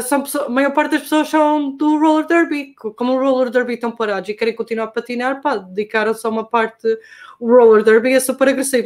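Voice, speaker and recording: A young adult woman from Portugal with a Portuguese accent, chatting casually and hesitantly as a guest, with slang and English terms mixed in. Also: fairly high pitch. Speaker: guest skater. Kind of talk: casual chat.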